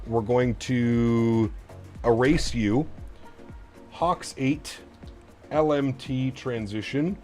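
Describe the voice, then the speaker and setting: A man's voice: lowish voice, a little mellow. A man streaming a flight simulator, thinking aloud in a casual, chatty way and asking his viewers for their opinions. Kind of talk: casual live-stream commentary while programming a flight computer.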